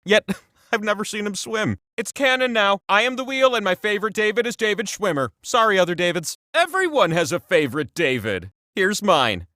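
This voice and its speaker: Pompous, high-voiced, swooping game-show wheel. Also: male voice, big pitch swings, moderate pace, pushed and bright, no room sound, clean studio sound, exaggerated voice. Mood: fond, dramatic. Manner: pompous, teasing, mock-grand with chatty asides. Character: boastful talking game-show wheel, a playful god-like male host. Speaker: quiz game host. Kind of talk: theatrical game show hosting.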